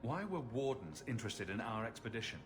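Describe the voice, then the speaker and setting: An actor playing a probing, businesslike champion with a direct, questioning manner in a fantasy video game. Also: male voice, lowish voice, sing-song, fairly quick, music in the background. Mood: inquisitive. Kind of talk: fantasy game cutscene acting. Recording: noisy ambience behind dialogue.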